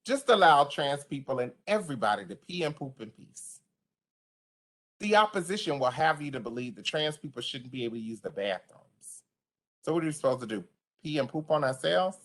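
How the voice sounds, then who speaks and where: A woman with a deep voice giving an online training to service providers, speaking passionately and conversationally. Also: expressive, a little fast. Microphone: video call.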